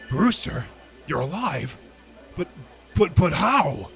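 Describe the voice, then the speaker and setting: An actor playing an earnest, determined police detective in an old-time radio drama. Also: male voice, a little high, very animated, slow, fairly bright tone, heavily acted. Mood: shocked, indignant. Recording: music bed behind dialogue.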